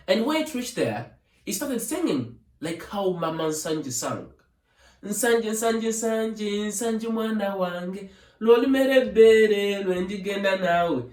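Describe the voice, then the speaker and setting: A man with a Ugandan accent tells a folktale informally as a storyteller, pausing to explain and translate as he goes. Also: a little high. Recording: echoey room, distant from the mic.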